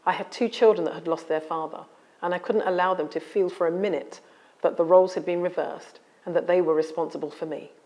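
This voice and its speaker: A woman author speaking in a measured, articulate and solemn way about personal experience. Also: fairly low pitch, steady tone, a little mellow. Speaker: author and guest. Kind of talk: reading a memoir aloud, then interview talk.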